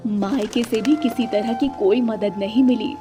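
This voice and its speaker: A woman's voice: fairly high pitch, a little slow, music in the background. A woman reading scripted narration for a children's story.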